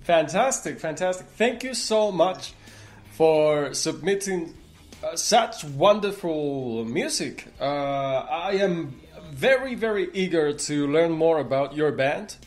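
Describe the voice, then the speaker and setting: A man hosting a music interview show, speaking effusively with halting phrasing. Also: on the high side, expressive. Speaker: radio talk show host. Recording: music playing behind the voice. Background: music with guitar.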